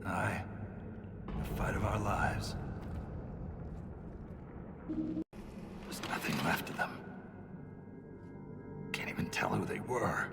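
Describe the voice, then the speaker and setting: An actor playing a gruff, wry dwarf warrior in a video game cutscene, terse and dryly skeptical. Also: male voice, sits low, fairly even intonation, slow pace, loud music behind the voice, exaggerated voice. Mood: grim. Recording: long cavernous reverb, loud background noise.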